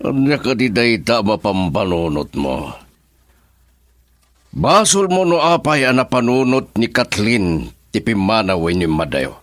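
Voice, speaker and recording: A man's voice: on the low side, big pitch swings, measured pace, fairly bright tone, dry recording, soft background music. Stern, emphatic father. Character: stern, practical father of a grown son. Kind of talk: radio drama acting.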